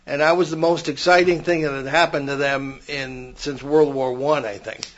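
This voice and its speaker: A man's voice: mid-range voice, steady tone, normal speed, a bit bright, highs cut off. An older man co-hosting a paranormal podcast, telling long stories with frequent restarts in casual chat. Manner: rambling, many restarts, storytelling.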